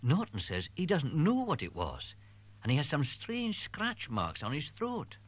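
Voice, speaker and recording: A man's voice: fairly high pitch, huge pitch swings, unhurried, husky, pitched above the performer's own voice, heavily acted. A male narrator voicing a talkative, teasing young male student. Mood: chatty. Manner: chatty, teasing, rambling.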